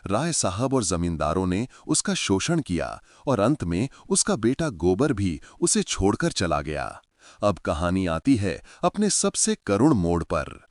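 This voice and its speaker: A man's voice: low voice, a little mellow, no echo, studio quality. A man telling a story with feeling, speaking to his listeners as friends. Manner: emotive, addresses listeners as friends. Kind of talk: dramatic storytelling narration.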